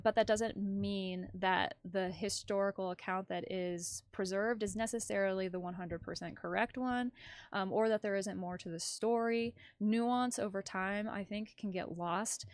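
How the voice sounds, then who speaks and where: A woman's voice: mid-range voice, no room sound, clean studio sound. A woman hosting a casual livestream news commentary, chatty and rambling as she thinks aloud. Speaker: livestream host.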